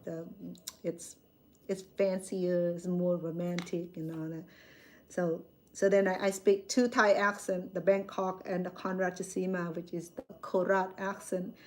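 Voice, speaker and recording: A woman's voice: fairly low pitch, flat delivery, unhurried. Older woman, a chef streaming live to her viewers from a phone; chatty and rambling, often restarting her sentences. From Cambodia. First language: Khmer. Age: 60s. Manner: chatty, rambling, frequent self-corrections.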